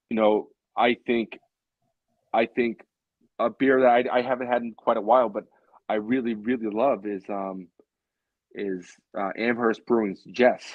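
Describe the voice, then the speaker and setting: A man's voice: on the low side, no echo. Man, late thirties, casual co-host chat, rambling and repetitive, dry close sound, slightly lo-fi. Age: late 30s. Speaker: co-host of a beer hangout show.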